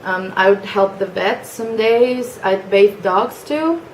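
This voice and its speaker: A nineteen-year-old woman with a Mexican accent introduces herself in English, her native language being Spanish. She talks softly and hesitantly, with many pauses and fillers. Her voice has a roomy echo over a faint hiss of background noise. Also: medium pitch, expressive. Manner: soft-spoken, hesitant with frequent fillers. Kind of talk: casual self-introduction video. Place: family home.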